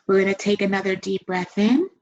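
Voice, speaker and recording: A woman leading a guided meditation, giving gentle, soothing instructions with long pauses. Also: medium pitch. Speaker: meditation guide and massage therapist. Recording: dull, muffled lo-fi sound.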